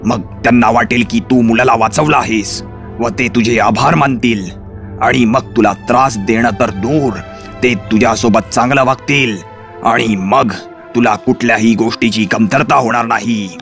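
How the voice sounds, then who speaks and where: A man voicing a scheming wolf in a children's animated fairy tale, speaking slowly and deliberately. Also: medium pitch, creaky, faint music behind. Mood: plotting, reassuring. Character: scheming male wolf, friend turned vengeful.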